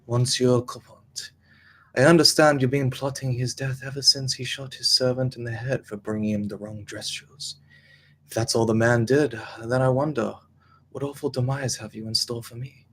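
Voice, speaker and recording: A man plays a young aristocrat in a dramatic table read. He is languid and arrogant, taunting in a mid-pitched voice. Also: fairly even intonation, moderate pace, a bit bright, a little hiss or hum, natural voice.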